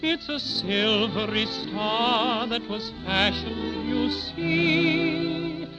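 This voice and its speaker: A performer playing a small, earnest cinnamon bear, singing rhyming verse in a 1930s radio serial for children. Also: medium pitch. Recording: dull 1930s radio transcription, music behind.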